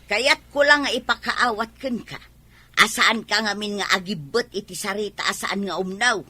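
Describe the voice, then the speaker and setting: A woman's voice: medium pitch, moderate pace, bright, forceful tone. A woman with an Ilocano accent acting a sensible, sympathetic village woman who gives advice in a comedy drama. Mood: chiding.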